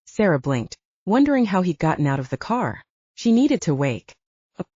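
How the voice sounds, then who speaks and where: A woman's voice: fairly low pitch, very animated, moderate pace, mellow, husky, no room sound. A woman working as an audiobook narrator, reading fiction in a dramatic, scripted style. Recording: dull, band-limited audio.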